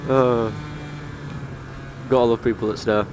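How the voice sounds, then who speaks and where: Young man, broad Yorkshire accent, casual and chatty, mid pitch, outdoors with faint engine hum. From England. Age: late teens. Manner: chatty, laid-back, laughs now and then. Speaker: motovlogger. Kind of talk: casual vlog chat while riding. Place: outdoors on a scooter by roadside. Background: faint engine and traffic noise.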